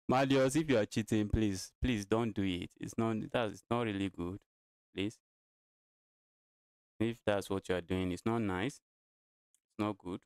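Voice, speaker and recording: Smooth-voiced man in his twenties, repeating himself. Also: lowish voice, slow, long pauses, a little mellow, no echo. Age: 20s. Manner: talks to viewers, repeats his phrases. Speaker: chess streamer. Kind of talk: casual live-stream chess commentary.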